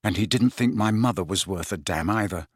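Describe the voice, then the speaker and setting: A man's voice: lowish voice, brisk, slightly rough, dry recording. Guy doing a bitter teen boy in an audiobook, grim, pretty dry close sound. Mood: bitter, low. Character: grim, bitter teenage boy demanding answers.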